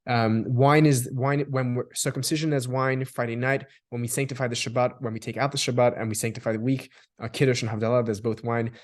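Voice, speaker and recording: A man's voice: medium pitch, flat delivery, brisk, hardly any pauses. A man from Australia speaking as a guest in a reflective discussion, often repeating words as he builds long explanations. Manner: thoughtful, restarts and repeats words while building long sentences.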